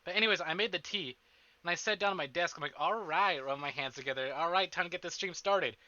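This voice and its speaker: Bright, forceful man streaming. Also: on the high side, fast, dry recording, muffled recording. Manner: chatty, animated, self-interrupting, playfully profane. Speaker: streamer, host of a visual novel stream. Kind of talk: casual livestream chat to viewers. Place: indoors at a desk.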